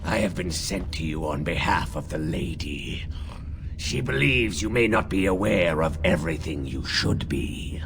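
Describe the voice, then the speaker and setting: Game creature role: wary, protective werewolf guard, rough growl, formal menace, noisy ambience behind. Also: male voice, lively intonation, unhurried. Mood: stern warning. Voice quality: deep, gravelly growl. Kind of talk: fantasy video game acting.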